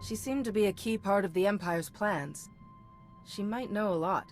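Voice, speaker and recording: An actress playing a composed, earnest, reassuring commander in a video game. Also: female voice, medium pitch, moderate pace, soft background music, natural voice. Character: calm, reassuring female hero commander.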